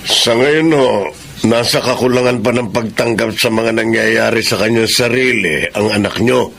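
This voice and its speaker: An actor with a Filipino accent playing a firm, fatherly prison warden in a radio drama, measured and formal. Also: mid-range voice, creaky, a bit bright. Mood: grave, reassuring. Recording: noisy radio drama broadcast.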